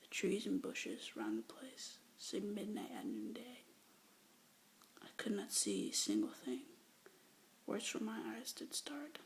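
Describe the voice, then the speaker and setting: A young woman whispering love poems aloud with hesitant, rambling asides between them, breathy and soft, close to a phone or webcam mic. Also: mid-range voice, huge pitch swings, a little slow, poor audio. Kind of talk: whispered poetry reading, ASMR-like.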